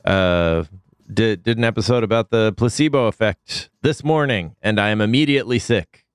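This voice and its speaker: Sick male podcast host, lowish smooth voice, dry close mic, jokey. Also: normal speed, no room sound. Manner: loose, jokey, swooping emphasis. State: sick, deep voice. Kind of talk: casual comedic chat.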